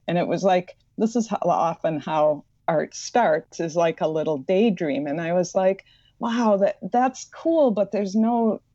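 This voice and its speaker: A woman's voice: fairly low pitch, mellow, dry recording, dull audio. A woman with a slight Midwestern accent, an author and librarian, talking earnestly as a podcast guest and often repeating words as she thinks. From Madison, Wisconsin.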